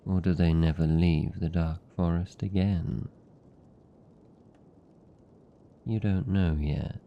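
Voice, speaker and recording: Casting: male actor as lonely British vampire count for ASMR roleplay, very low and gravelly, tender but possessive. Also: steady tone, dull audio, a little hiss or hum. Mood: gentle, teasing. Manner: soft, slow, soothing, lingering pauses.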